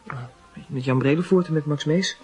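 An actor playing an earnest, shy schoolboy in a radio play, speaking hesitantly and haltingly. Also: male voice, fairly high pitch, a little mellow, faint music behind, natural voice. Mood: dutiful, hesitant. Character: earnest, shy schoolboy in love, dutiful son.

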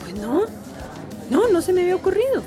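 A woman's voice: very high voice, very animated, brisk. An actress playing a kindly, modest, encouraging woman who cooks, in a radio drama. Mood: cheerful, modest. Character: Doña Meche, kindly, modest, encouraging home cook. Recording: music behind the voice.

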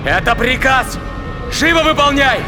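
Casting: alarmed, bossy spaceman, high voice with big swings, agitated audio drama delivery over prominent music. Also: animated, a little slow. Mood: urgent, commanding. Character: alarmed, bossy spaceship man tending animals.

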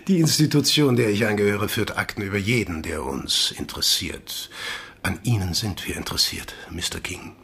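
A man playing the menacing chief of a secretive agency in a radio play, with a husky, breathy voice and a measured, politely condescending manner. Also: on the low side, a bit bright, full character voice. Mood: guarded, condescending.

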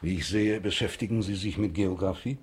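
An actor playing a dignified, self-important state prosecutor with formal, stilted speech in a German radio drama. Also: male voice, sits low, steady tone, brisk, natural voice. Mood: condescending.

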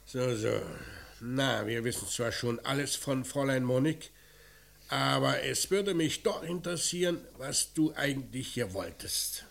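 An actor playing a gruff, commanding police inspector in a radio crime drama, curt and sardonic while needling a suspect. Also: male voice, medium pitch, measured pace, fairly bright tone. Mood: sardonic, probing. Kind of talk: German radio play acting.

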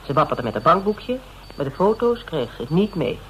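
Detective, slightly high voice, methodical, polite, persistent, radio play dialogue, faint background noise. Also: male voice, very animated. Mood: probing. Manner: measured, explanatory, quietly probing. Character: persistent male private detective questioning a suspect, methodical and polite. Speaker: actor. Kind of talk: Dutch radio drama dialogue. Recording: noisy old radio drama recording.

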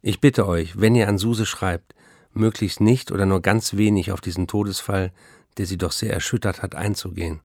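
An actor playing a restless, addicted male writer who narrates his life and letters in a literary radio drama, his voice gravelly. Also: low-pitched, steady tone, normal speed, no echo, clean studio sound. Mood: earnest, composed.

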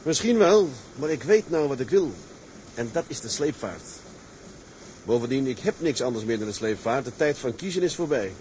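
Male actor for determined tugboat skipper: mid-pitched, earnest, declamatory dreamer, seafaring drama with light ambient noise. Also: lively intonation, normal speed, darkish tone, lightly acted, rough recording. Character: resolute, dreamy male tug captain set on owning a ship. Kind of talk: dramatised seafaring story, scripted acting.

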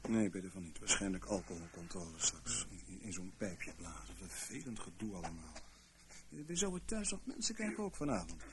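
An actor in a radio play playing a dry, fussy middle-aged intelligence official who speaks formally, with small hesitations. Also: male voice, sits low, sing-song, brisk. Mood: weary, fussy. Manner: formal, hesitant, wryly bureaucratic. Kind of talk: radio drama acting. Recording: noisy old radio play recording.

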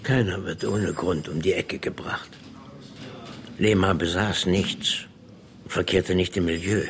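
An actor in a German radio play playing a shrewd, threatening police inspector who interrogates bluntly in slang. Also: male voice, low voice, steady tone, slow pace, gravelly, exaggerated voice. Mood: calculating.

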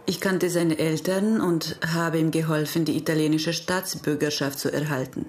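A woman's voice: deep voice, little pitch movement, moderate pace, natural voice. An actress playing a frank, unashamed older woman under police questioning in a German radio crime drama, blunt and precise. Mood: frank, unruffled.

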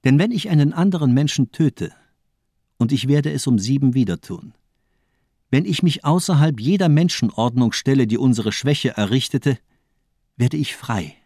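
A nihilist doctor and killer in a crime radio play. He declaims with grandiose conviction, his mid-pitched voice rising and falling dramatically. The sound is close and dry. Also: expressive, normal speed, warm tone, no echo. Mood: impassioned, cruel. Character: fanatical nihilist doctor, cold male torturer and murderer.

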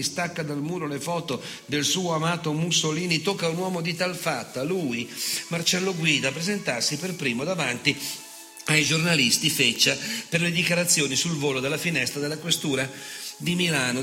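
An actor playing an unrepentant, bitter fascist nostalgic in a declamatory, sardonic theatre monologue. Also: male voice, on the high side, bright, forceful tone. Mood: sardonic, emphatic. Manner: declamatory, sardonic, dramatic swings between murmur and outburst. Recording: reverberant theatre hall with music.

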